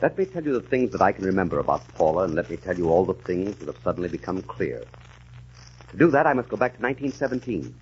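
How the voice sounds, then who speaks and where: Deep-voiced, troubled English gentleman. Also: big pitch swings, breathy edge, heavily acted. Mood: earnest, confessional. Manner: earnest, halting and hesitant in dialogue. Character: troubled middle-aged English gentleman recalling his shell-shocked, amnesiac past. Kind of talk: 1940s radio drama acting, dramatic first-person narration. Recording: muffled, noisy 1940s radio recording.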